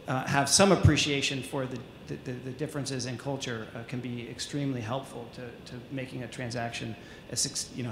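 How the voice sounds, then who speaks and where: A man speaking as a panelist at a conference, measured and even, with frequent ums. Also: medium pitch, slight background noise. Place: conference hall. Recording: echoey hall with faint ambience.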